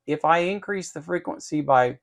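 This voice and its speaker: Gravelly-voiced man explaining technical steps. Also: a little high, normal speed. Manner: methodical, repeats phrases for emphasis. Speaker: electronics channel host and programmer. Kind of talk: technical code walkthrough, explaining informally.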